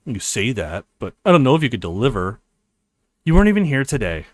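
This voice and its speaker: A man's voice: mid-range voice, very animated, a little fast, no room sound, pitched below the performer's own voice, natural voice. A discouraged young dance teacher played by a male reader, skeptical and downcast. The delivery rises and falls widely, recorded dry for visual novel dialogue. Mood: doubtful.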